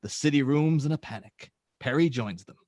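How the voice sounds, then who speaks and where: A man's voice: mid-range voice, moderate pace, darkish tone, no room sound, clean studio sound. A man reading out stage directions and scene headings as narrator in a script table read.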